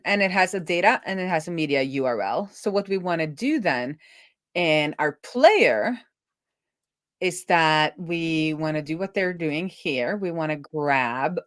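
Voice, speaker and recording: Low-voiced woman thinking aloud while coding. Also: no room sound, clean studio sound. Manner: animated, playful, breaks into laughter and song. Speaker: live-coding streamer, web developer.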